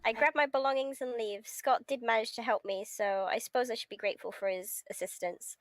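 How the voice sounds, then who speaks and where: A woman's voice: on the high side, steady tone, brisk, no room sound. A woman reading visual novel text aloud in a casual, amused, chatty way on a stream.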